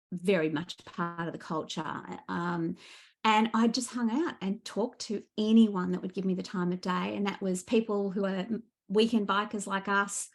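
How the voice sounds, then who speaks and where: A woman with an Australian accent speaking as a guest author in a casual interview, animated and enthusiastic as she tells her stories. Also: medium pitch.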